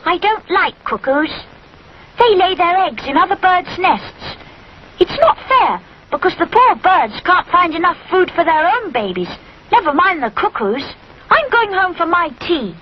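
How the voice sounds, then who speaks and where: Woman putting on a whiny, high-pitched little boy pig. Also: big pitch swings, measured pace, dull audio, slight background noise, exaggerated voice. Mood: grumpy. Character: grumbling, hungry young boy pig, always sighing.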